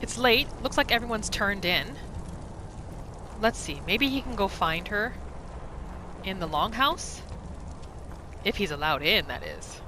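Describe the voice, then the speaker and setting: A woman's voice: mid-range voice, expressive, a little slow, pauses often, noisy background. A woman hosting a gaming video, giving casual, chatty commentary as she muses through a roleplay playthrough. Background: game music.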